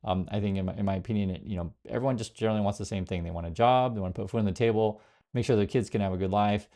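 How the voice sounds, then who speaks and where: A man, born in Vietnam, talks straight to his viewers in a loose, rambling way, full of fillers and restarts. His voice sits a little low and has a gravelly, fry-tinged edge. Now and then he slows down to read viewer comments aloud. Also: dark tone. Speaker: political commentary host. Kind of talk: casual direct-to-camera commentary.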